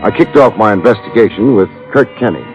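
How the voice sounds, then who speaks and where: An actor playing a wry, tough private detective in a 1950s radio drama, sardonic and slangy in both narration and dialogue. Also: male voice, on the low side, expressive, fast talker, close to an everyday voice. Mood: dry narrating. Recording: muffled old radio recording with music.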